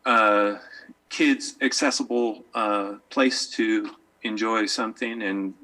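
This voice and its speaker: Male community advocate, slow and deliberate, forceful tone, earnest halting phrasing. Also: on the low side, low-quality recording. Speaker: skateboarder speaking for a skate park project. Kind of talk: public meeting remarks.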